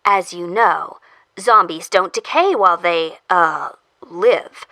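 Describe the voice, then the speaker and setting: A woman's voice: lowish voice, slow, pushed and bright, no echo, heavily acted. A woman playing a persistent, matter-of-fact medical researcher in an audio drama, hesitating over delicate words. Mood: clinical, matter-of-fact. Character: clinical, persistent woman researcher studying zombie infection. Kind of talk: audio fiction acting.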